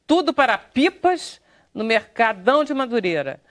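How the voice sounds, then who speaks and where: A woman's voice: fairly high pitch, big pitch swings, moderate pace. A woman with a Brazilian accent hosting a TV chat show, informal and enthusiastic as she prompts her guests. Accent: Brazilian Portuguese. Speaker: talk show host. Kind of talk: casual interview chat. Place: TV talk show studio.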